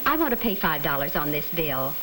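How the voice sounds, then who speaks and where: A woman's voice: low voice, sing-song, fairly quick. Polite 1940s housewife acted by a woman, noisy soundtrack. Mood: polite, candid. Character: polite married housewife customer paying a bill. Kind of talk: 1940s instructional film acting. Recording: noisy old film soundtrack.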